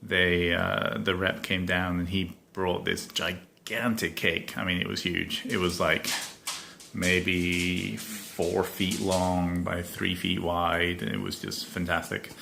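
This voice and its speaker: Gravelly low man with faded Scottish accent. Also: little pitch movement, takes it slowly, noisy background. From Scotland. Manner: rambling, chatty, many ums and self-corrections. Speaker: podcast host. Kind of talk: casual solo podcast chat.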